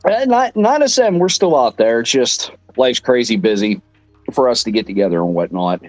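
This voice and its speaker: A hoarse man, a rock singer appearing as a guest, talking in a laid-back, rambling way with many fillers. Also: medium pitch, lively intonation, moderate pace, no echo, rough recording. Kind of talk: casual chat.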